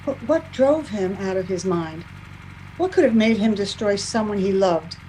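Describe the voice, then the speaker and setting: A woman's voice: medium pitch, expressive, moderate pace, warm tone, slight background noise, close to an everyday voice, rough recording. A woman playing an anxious, grieving young sister in an old time radio drama, earnest and questioning, recorded over a video call. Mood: worried, tender. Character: worried, grief-stricken young woman, sister of a slain trooper.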